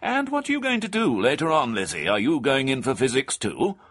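A man voicing a genteel, cunning old gentleman with a suave, drawling manner. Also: medium pitch, sing-song, brisk.

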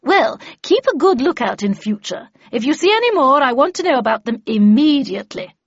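A woman voicing an imperious, brisk older lady mentor in an audiobook, with clipped, commanding and pompous delivery. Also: on the high side, animated, slightly rough, no room sound, muffled recording. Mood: vexed, commanding. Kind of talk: audiobook character dialogue.

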